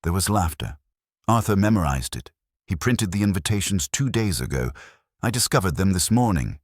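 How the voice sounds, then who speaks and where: A man voicing an anxious, well-bred wife in a detective story, husky, earnest and polite. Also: deep voice, sing-song, moderate pace, no room sound, clean studio sound, pitched above the performer's own voice, heavily acted. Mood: pleading.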